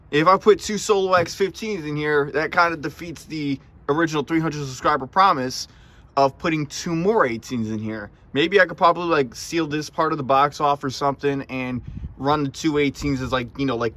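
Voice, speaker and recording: Bright-voiced man rambling with a slight stutter. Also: a little high. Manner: stuttering, repeats words; rambling, informal. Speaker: car audio vlogger. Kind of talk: casual chat to camera. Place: inside a car. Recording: noisy vehicle interior.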